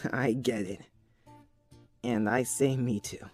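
A man's voice: mid-range voice, fairly even intonation, slow pace, no room sound, faint music behind. A young man playing an earnest, devoted teenage boy in a cartoon comic dub. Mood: relieved. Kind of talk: fan comic dub voice acting.

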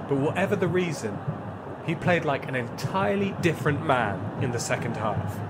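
An actor playing a reflective football fan, narrating his memories of the Nazi era in an audio play in a measured, wry way. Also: medium pitch, very noisy, close to an everyday voice. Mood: stirred. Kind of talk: first-person dramatic storytelling in an audio play.

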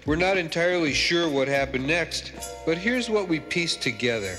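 An actor playing a wisecracking, laid-back cartoon ghost hunter, sardonic and glib. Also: male voice, on the high side, lively intonation, normal speed, lightly acted. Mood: wry storytelling. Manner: sardonic, glib, self-important. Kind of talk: cartoon voice acting. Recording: music behind the voice.

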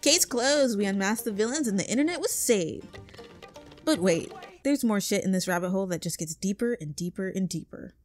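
A woman's voice: mid-range voice, moderate pace, a little mellow. A woman co-host reading a scripted video essay in an outspoken way. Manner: outspoken, swears. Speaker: co-host of a channel. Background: background music.

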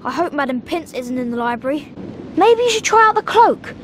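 A performer playing an eager, excitable young schoolboy wizard in a video game. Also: sits high, brisk, noisy background. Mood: excited, eager. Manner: eager, wide-eyed.

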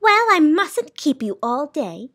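Woman acting a fussy, high-pitched storybook sound keeper. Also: very animated, moderate pace, fairly bright tone. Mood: impatient, scolding. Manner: dramatic, emphatic, bossy delivery. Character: fussy, imperious, wistful female keeper of sounds. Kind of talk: storybook character reading.

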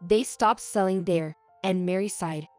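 A woman narrating a heartfelt story in a calm, even way. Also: fairly low pitch, measured pace, dry recording, faint music behind.

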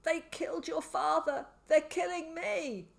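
A woman's voice: very high voice, fairly even intonation, a little slow, a bit bright, voice pushed up. A woman voicing a crazed, terrified sick man in an audiobook, frantic and gasping as he gives desperate warnings. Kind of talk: audiobook character acting.

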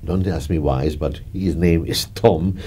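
An older man with a Hungarian accent recalls his work with a chuckle, his phrases halting and looping back. His voice sits very low and sounds warm and mellow. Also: breathy edge. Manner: chatty, laughing, gesturing, halting. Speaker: film director. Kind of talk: reminiscing interview. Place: dark studio, seated in armchair.

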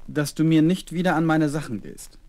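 A man's voice: fairly high pitch, normal speed, darkish tone, lightly acted. A man playing a kindly doctor and father in a seventies children's audio drama. He speaks to his little girl with patience and playful courtesy, in careful acted phrases. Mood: gently admonishing. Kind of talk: 1970s children's radio play acting.

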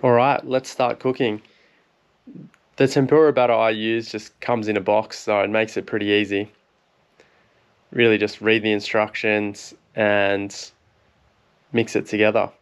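A man in his thirties with an Australian accent, a fishing vlogger calmly explaining how to prepare fish in a voice-over. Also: lowish voice, measured pace, long pauses, clean tone. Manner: relaxed, plain explaining. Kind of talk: instructional cooking voice-over.

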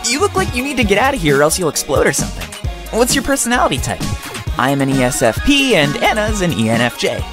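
A woman playing an excitable, blunt teenage boy in a visual novel, slangy and exaggerated. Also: lowish voice, quick pace. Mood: brash. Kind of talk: visual novel character acting. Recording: music behind the voice.

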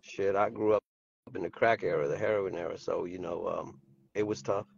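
A man from the South Bronx talking haltingly, with many fillers, as a podcast guest on a video call. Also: sits low, steady tone, vocal fry, dull audio, slight background noise. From South Bronx, New York. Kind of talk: casual podcast talk.

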